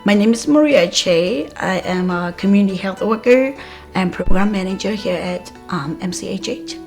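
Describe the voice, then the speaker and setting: A woman whose first language is Karenni, originally from Myanmar, answering interview questions as a community health worker. Her mid-pitched voice carries a noticeable gravelly fry, and she pauses often with "um" and "like". Soft music plays faintly beneath her. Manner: measured, with frequent "um" and "like" pauses.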